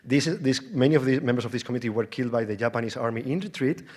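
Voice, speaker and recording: A man speaks as an academic presenter at a conference, in a medium-pitched voice. His delivery is halting, often restarting and repeating words. He explains things informally, with conversational asides and rhetorical questions. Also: darkish tone, husky. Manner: halting, with stammered false starts and repeated words. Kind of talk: conference lecture.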